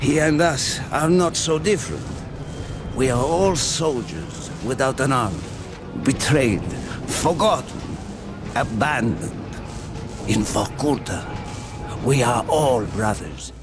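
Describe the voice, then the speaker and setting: A Russian soldier leading fellow prisoners in a game. His delivery is slow and dramatic with wide swings of pitch, over clear music. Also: animated. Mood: rousing, solemn. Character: defiant Russian male prisoner leader, rousing soldier.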